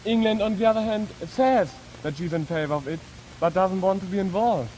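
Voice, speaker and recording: A man with a German accent speaking deliberately and politely in a formal panel discussion, recorded in the 1950s. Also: high-pitched, darkish tone. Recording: noisy 1950s archive broadcast recording.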